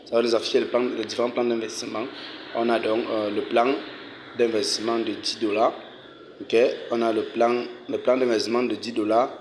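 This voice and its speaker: A man hosting a casual tutorial, walking viewers through each step, often saying "ok" and "euh" and clearing his throat. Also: lowish voice, slight background noise. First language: French. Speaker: channel host giving a tutorial. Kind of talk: casual screen-recorded walkthrough.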